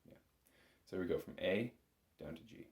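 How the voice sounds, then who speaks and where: A man's voice: deep voice, moderate pace, creaky, darkish tone. A man giving a step-by-step music lesson as an instructor, talking in an informal, patient, encouraging way. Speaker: bagpipe instructor. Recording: roomy, echoing room sound.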